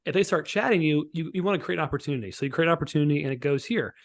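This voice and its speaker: A man giving an informal, instructional software walkthrough as a sales trainer on a live call, often checking that listeners follow. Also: a little high, rapid-fire. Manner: informal, instructional, checks for understanding with tags like "right?" and "okay?". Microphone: online group call.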